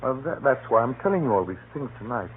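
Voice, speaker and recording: A man's voice: mid-range voice, very animated, fast, natural voice. A man playing a fearful, hunted little informant, secretive and nervously insistent, in a 1930s radio drama. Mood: resigned dread. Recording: muffled, noisy 1930s radio recording. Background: faint hiss and noise.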